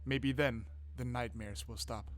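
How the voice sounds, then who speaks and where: A man hosting a game playthrough, reading narration aloud and commenting in a casual, expressive, sweary way. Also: medium pitch, measured pace. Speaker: gaming video host. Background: faint game music.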